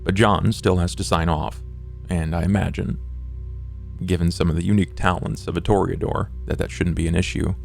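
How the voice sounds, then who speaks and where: A very deep, creaky voice for a courtly and macabre vampire fixer, acted by a man in a tabletop game. He speaks formally and ornately, in measured phrases, over music. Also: fairly even intonation, darkish tone. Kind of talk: improvised tabletop roleplay drama.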